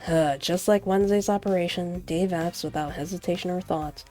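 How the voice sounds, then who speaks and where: A woman reading a visual novel aloud as expressive narration, in the manner of a game player acting out a story. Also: on the low side, moderate pace, soft background music. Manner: acts out character lines while narrating.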